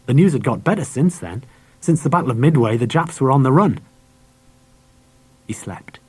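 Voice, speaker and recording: A man narrates a children's audiobook in a husky voice. The sound is dry, with very little room on it, and the delivery is that of scripted storytelling. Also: mid-range voice, expressive, moderate pace, darkish tone, dry recording. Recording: dry cassette audiobook transfer.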